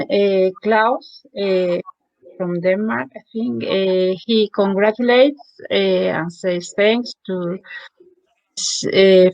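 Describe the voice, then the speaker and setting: Slow-spoken woman moderating a webinar. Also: medium pitch, dry recording, poor audio. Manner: unhurried, halting with fillers. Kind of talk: reading audience questions. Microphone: video call.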